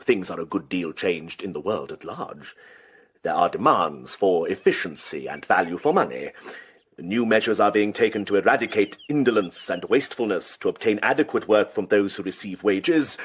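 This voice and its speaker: An actor playing an unctuous, condescending, ambitious English church official in a period radio drama, patronising and deliberate in his emphasis. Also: sits low, husky. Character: oily, condescending, ambitious church official, coldly reforming villain.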